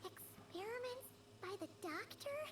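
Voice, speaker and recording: A woman voicing a small, excitable, suspicious fairy companion with a childlike voice in an anime video game. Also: very high-pitched, measured pace, distant mic, slight background noise, heavily acted. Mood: curious.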